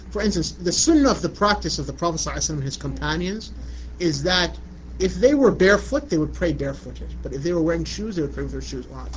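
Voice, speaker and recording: A middle-aged man from Iraq giving a religious lecture in a conversational way, often asking "you know what I'm saying". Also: a little high. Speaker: Islamic lecturer. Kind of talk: religious lecture answering a question. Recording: muffled lo-fi recording with light background noise.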